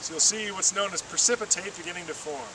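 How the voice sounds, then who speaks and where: A man's voice: sits high, fast. A man giving a patient, step-by-step, encouraging hands-on demonstration as a field trainer. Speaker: water-quality field trainer. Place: outdoors beside flowing water. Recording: distant mic, loud outdoor stream noise.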